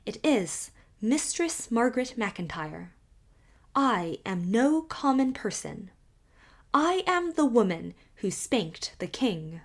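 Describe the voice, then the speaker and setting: A woman doing a regal old Highland widow. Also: big pitch swings, slow pace, exaggerated voice. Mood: stately. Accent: Scottish Highland. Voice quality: rich and powerful. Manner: regal, lilting, formal phrasing. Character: stately old Highland widow, proud and strange. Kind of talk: audiobook character reading.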